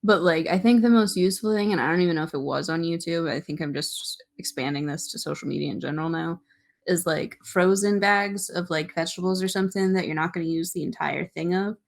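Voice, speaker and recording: A young woman co-hosting a podcast in casual chat, giggly and animated, full of "like" and "um". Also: on the low side, fast talker, creaky. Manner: giggly, filler-heavy, animated. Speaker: podcast co-host.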